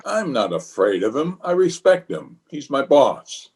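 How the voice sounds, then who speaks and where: A man's voice: fairly high pitch, big pitch swings, a little slow, creaky, a little mellow, breathy edge, exaggerated voice. A man playing a blustering, self-important middle-aged uncle and small-town official in an old-time radio comedy, with a pompous manner. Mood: indignant.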